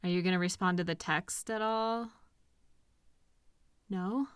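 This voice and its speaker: A woman playing a caring, protective partner in an intimate comfort audio roleplay, speaking gently and earnestly in a reassuring, conversational way with hesitant pauses. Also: on the low side, clean tone, no echo, clean studio sound, close to an everyday voice. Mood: resigned, sympathetic. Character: caring, protective partner consoling a hurting loved one.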